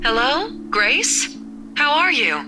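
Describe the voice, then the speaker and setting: A woman's voice: high-pitched, big pitch swings, slow, heavily acted. An actress playing a caring, weary middle-aged mother on a phone call in a visual novel game. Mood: warm, tired. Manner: gentle, weary lilt. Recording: music behind the voice.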